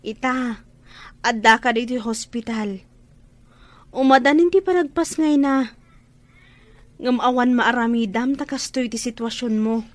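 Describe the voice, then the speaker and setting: High voice, woman playing younger sister, earnest, pleading, radio drama delivery. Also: measured pace, pauses often, no room sound, close to an everyday voice. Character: younger sister earnestly counseling her hospitalized brother. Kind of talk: Ilocano radio drama acting.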